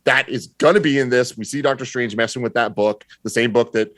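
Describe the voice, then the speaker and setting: A man speaks in a very dry, close recording at a medium pitch. He is a podcast guest giving casual analysis, reasoning through his opinions in a measured, explanatory way. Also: a bit bright, dry recording.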